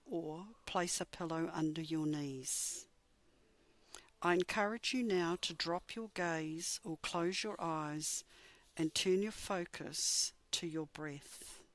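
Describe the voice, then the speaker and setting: A woman with a fairly low voice guides a meditation at a very slow pace. Her delivery is measured and soothing, with gentle pauses between short phrases. The sound is dry and close, with no room echo.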